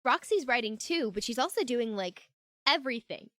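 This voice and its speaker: A woman's voice: a little high, animated, moderate pace, fairly bright tone, dry recording. A woman acting an earnest, assertive young woman in a fan fiction audio drama, speaking conversationally and emphatically. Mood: chatty, fond. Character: earnest, newly assertive young woman, fed up but friendly.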